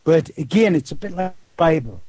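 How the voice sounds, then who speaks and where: A man's voice: fairly high pitch, expressive, brisk, a little mellow, husky, no room sound. An older man with a Geordie accent, a guest in an interview, talking in a rambling way with repeated words and rhetorical questions. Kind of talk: casual phone-style interview talk.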